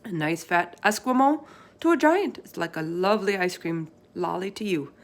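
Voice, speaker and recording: Woman voicing an emphatic, excitable friendly giant. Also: lowish voice, moderate pace. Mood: emphatic explaining. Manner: emphatic, garbled folksy grammar with made-up words. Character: big friendly male giant, earnest, excitable, muddled speech.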